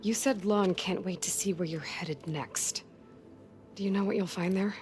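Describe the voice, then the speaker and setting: A woman's voice: mid-range voice, moderate pace, darkish tone, faint music behind, close to an everyday voice. A woman playing a determined, wry young outsider in a naturalistic video game cutscene, persuasive and probing. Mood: earnest, probing.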